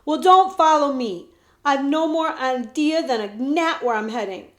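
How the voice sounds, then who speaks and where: Brash street urchin, read by a woman, high and slangy. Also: measured pace, echoey room. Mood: annoyed. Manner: loud, cocky, street slang. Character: brash street boy, quick-tongued.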